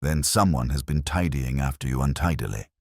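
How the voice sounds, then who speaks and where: Man playing a calm police inspector, very deep voice. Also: brisk, dry recording, clean studio sound. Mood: dryly wry. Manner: gentle, even, quietly authoritative. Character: gentle, unruffled, dryly witty male police inspector.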